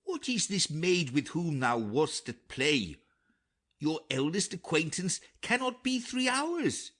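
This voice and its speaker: An earnest old king in mourning as acted by a man, speaking in a raised, highly animated voice. He declaims slowly and ceremoniously, in the manner of a Shakespeare audiobook. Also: heavily acted. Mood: awed, remorseful. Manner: theatrical, declamatory. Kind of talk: dramatic Shakespeare audiobook reading.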